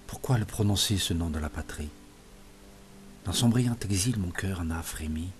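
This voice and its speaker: A man reciting poetry in a solemn, expressive literary style, in measured phrases that sink softer as lines end. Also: low-pitched. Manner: measured phrases falling softer at line ends. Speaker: poetry reader. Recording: music playing behind the voice. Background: music.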